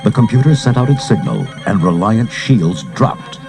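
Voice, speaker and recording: A man's voice: fairly low pitch, very animated, normal speed, gravelly. A man giving dramatic scripted narration for a read-along adventure story. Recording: cassette transfer with music underneath.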